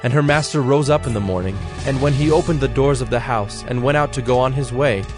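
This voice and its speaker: Guy narrating scripture, solemn and measured, medium pitch, soft music underneath. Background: background music.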